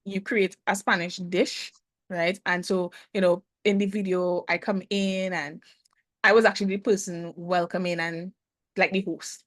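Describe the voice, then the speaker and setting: A woman guest, a business consultant, speaking reflectively in a casual interview with frequent hesitant pauses. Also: fairly low pitch, level tone, no room sound, clean studio sound. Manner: thoughtful, hesitant, many "um" pauses.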